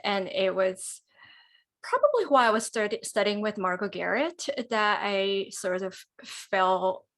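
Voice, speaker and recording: A woman in her forties from Japan, Japanese her first language, answering interview questions in a relaxed, chatty way over a video call from home. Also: medium pitch, unhurried. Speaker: guest pianist. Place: home room, indoors.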